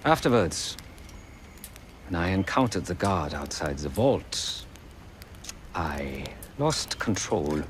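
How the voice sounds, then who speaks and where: An actor playing a solemn, remorseful ex-killer turned pacifist, speaking formally in a game cutscene. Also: male voice, deep voice, sing-song, a little hiss or hum, heavily acted. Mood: grave remorse. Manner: formal, measured, grave. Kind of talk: video game cutscene acting.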